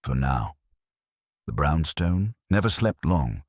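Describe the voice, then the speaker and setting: A man narrates a detective story in an extremely low voice with a husky edge. His pitch moves in an animated way, and the recording is dry and close, as in an audiobook. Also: slow pace, dry recording.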